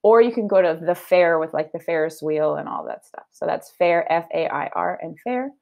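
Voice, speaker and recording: A woman's voice: fairly low pitch, moderate pace, vocal fry, a little mellow. A woman teacher giving a read-aloud lesson for children, explaining her thinking and asking checking questions as she goes.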